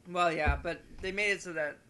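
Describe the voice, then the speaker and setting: Bright, high-pitched rambling young man. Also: fairly quick. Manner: rambling, repeats and rephrases himself. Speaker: podcast guest. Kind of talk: casual chat about video games. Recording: amateur podcast, clear background noise.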